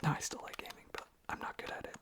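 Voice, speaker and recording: An ASMR host rambling softly and sleepily in a whisper, often trailing off into repeated phrases. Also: fast talker.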